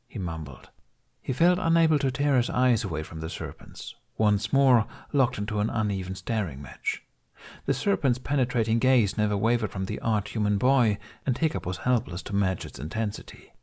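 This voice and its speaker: A man's voice: on the low side, a little mellow. A man reading a story aloud as scripted audiobook narration.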